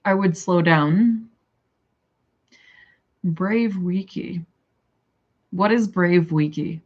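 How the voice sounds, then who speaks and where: A Canadian woman with a lowish voice chats loosely with her viewers, thinking aloud and drifting from point to point. Her talk is casual and rambling. The audio is dull and lo-fi. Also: very slow. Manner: rambling, chatty, thinks aloud and answers chat. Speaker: livestreamer chatting with viewers. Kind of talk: casual live chat.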